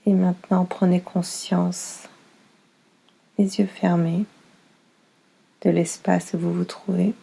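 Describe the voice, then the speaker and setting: A woman leading a guided yoga nidra relaxation as instructor, soothing and unhurried, speaking in short phrases with pauses. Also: on the low side, level tone, vocal fry, mellow, slightly rough, poor audio.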